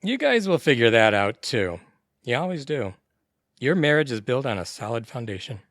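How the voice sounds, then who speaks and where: A man's voice: mid-range voice, big pitch swings, normal speed, fairly bright tone, no echo, studio quality. A man acting as an easygoing, rueful middle-aged ladies' man in an audio comedy. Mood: warm.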